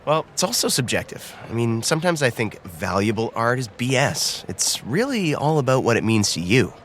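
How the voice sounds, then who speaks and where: A man's voice: medium pitch, big pitch swings, moderate pace, fairly bright tone, faint music behind. An actor playing an awkward, easily embarrassed young man on a playful date, talking hesitantly and naturally in a romcom audio drama. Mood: casual, curious. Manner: hesitant, bashful, conversational.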